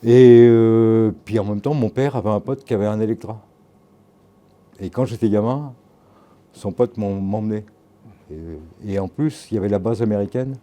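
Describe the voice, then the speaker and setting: An older man from Normandy, in his sixties, talks in a low, dark voice. He rambles through anecdotes in loose slang, restarting and circling back as he goes. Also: moderate pace. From Normandy, France. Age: 60s. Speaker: tattoo artist and photographer. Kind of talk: casual interview, storytelling.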